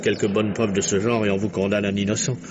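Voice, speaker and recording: An actor playing a keen, talkative journalist turned amateur detective in an old French radio mystery, theatrical and reasoning step by step. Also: male voice, lowish voice, little pitch movement, fast, natural voice. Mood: musing, wry. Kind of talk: mid-century French radio mystery acting. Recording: noisy, muffled old radio drama recording.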